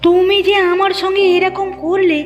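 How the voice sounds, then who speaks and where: A woman's voice: very high voice, level tone, normal speed, big-room sound. A woman voicing a quarrelsome, stingy village fishmonger in a cartoon, pushy and threatening. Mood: demanding, threatening. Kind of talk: cartoon dialogue acting. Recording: muffled narrow-band audio with music.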